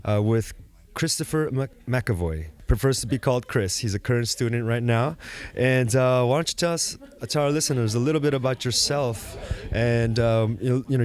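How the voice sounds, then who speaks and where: A man's voice: medium pitch, smooth. A man hosting a podcast and interviewing a guest, talking in an upbeat, chatty way with loose phrasing and fillers. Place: business school campus during a conference.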